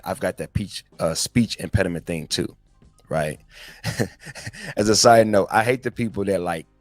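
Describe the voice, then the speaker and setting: A man's voice: on the low side, no echo. A man in his forties giving a casual, slangy motivational talk as a podcast host, speaking directly to his listeners. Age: 40s. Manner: conversational, slangy, addresses listeners as guys.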